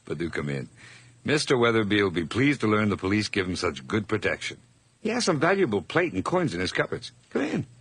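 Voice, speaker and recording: A man's voice: lowish voice, pushed and bright, slightly rough, no room sound, heavily acted. A stammering, jittery hired man. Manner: stammering, jittery, forced cheer. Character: nervous, overly eager male hired man hiding a crime. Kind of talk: 1980s radio drama acting.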